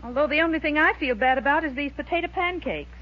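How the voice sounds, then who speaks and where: A woman's voice: high-pitched, quick pace, natural voice. An actress playing a patient, placating housewife and mother with an American accent in a 1940s radio sitcom. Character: patient, soothing middle-aged housewife and mother. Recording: muffled old radio recording.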